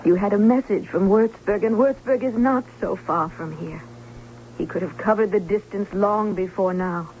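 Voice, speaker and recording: A woman's voice: medium pitch, a little mellow, slight background noise, natural voice. Woman playing earnest young bride, thin tinny recording. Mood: despairing. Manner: measured, melodramatic, formal. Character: earnest young bride-to-be, baron's daughter, anxious, wistful. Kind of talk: old-time radio drama acting. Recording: thin phone-like old radio recording.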